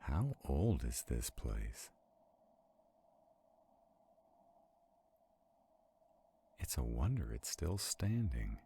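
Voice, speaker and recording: A man playing an arrogant, protective centuries-old vampire in a roleplay audio drama, haughty and brooding, with a gravelly, husky voice. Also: very deep voice, very animated, slow pace, mellow, a little hiss or hum, exaggerated voice. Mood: dry, wry.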